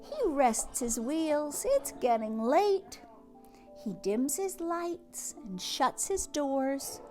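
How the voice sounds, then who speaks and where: Woman doing a kids storytime read aloud, super slow, really swoopy and animated, talks right to the little listeners. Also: high voice, soft background music. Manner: expressive, with drawn-out words and hushed asides; addresses young listeners directly. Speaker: teacher or read-aloud host reading to children. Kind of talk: children's picture book read-aloud.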